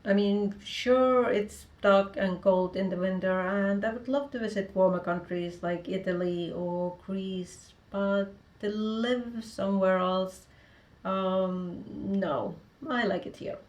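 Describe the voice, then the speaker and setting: A Finnish-accented woman, a native Finnish speaker, works through a list of vlog questions. Her pitch sits in a middle range for a woman, and she keeps her answers short and matter-of-fact. The room around her has a noticeable echo. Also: steady tone, slow pace, darkish tone, muffled recording. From Finland. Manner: reads each question, then answers in short plain sentences. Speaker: author vlogger. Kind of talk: casual answers to a video tag. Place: home room with bookshelves.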